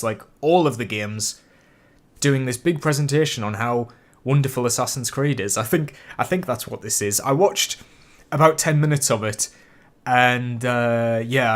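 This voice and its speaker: A man hosting a casual live stream, chatty and rambling while getting over a cold with a cough and sniffle. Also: medium pitch. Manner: chatty and rambling, with many fillers and restarts. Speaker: YouTube host streaming a live reaction.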